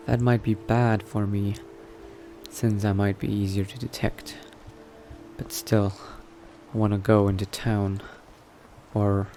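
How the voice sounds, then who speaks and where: A low-voiced man speaks very slowly, musing aloud as he acts out a newly turned vampire adventurer in a video game roleplay. His phrases trail off as he thinks things through, with noticeable background noise and ambience behind him. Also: mellow. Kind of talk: in-character gameplay narration, thinking aloud.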